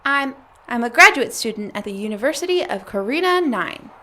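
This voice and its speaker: Woman acting a flustered, high-voiced alien grad student. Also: expressive, a little fast, bright, forceful tone. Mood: nervous, evasive. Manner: stammering, nervous chuckles. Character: flustered female alien grad student, evasive, squeamish fibber. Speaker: voice actor. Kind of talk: audio comedy drama acting.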